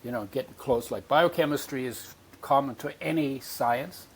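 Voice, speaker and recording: A man's voice: medium pitch, normal speed, husky. A man in his eighties, born in Poland, telling his life story as a podcast guest and acting out voices from his memories. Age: 80s. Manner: animated storyteller, acts out remembered voices.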